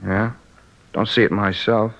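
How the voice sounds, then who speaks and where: A man's voice: on the low side, little pitch movement, unhurried. An actor playing a weary, defensive married man held as a suspect in a 1950s radio drama, grumbling in a sullen, colloquial way. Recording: dull vintage radio recording.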